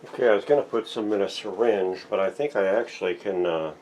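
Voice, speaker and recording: A man's voice: lowish voice, a little fast, darkish tone, a little hiss or hum, low-quality recording. A man giving a casual, plainspoken hands-on workshop demonstration, narrating each step as he works. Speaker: vintage radio restorer and host. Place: home workshop.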